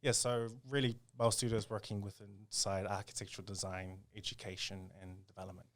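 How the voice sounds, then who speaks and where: A man with a New Zealand accent talking casually as an interview guest, in an informal and halting way. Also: on the low side, level tone. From South Auckland, New Zealand. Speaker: podcast guest, design studio co-founder.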